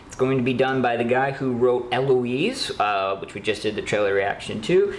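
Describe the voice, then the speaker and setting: A co-host of a horror news show giving opinionated, casual commentary in an emphatic way, repeating words for effect. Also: moderate pace. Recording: roomy echo, background rumble noise.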